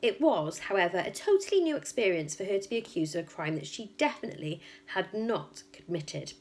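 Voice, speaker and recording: Woman storyteller with lively, dramatic delivery. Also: medium pitch, fairly quick. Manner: dramatic, emphatic, suspenseful. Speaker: teacher reading a story aloud. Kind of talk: expressive children's storybook narration.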